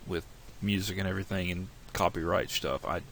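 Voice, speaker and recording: A very low, gravelly male voice, a little nasal from a cold, talking through each step of a software tutorial. He rambles and restarts as he thinks aloud. A faint hiss of noise sits under the desktop mic. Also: fairly quick. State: has a cold, slightly nasal. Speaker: tutorial creator.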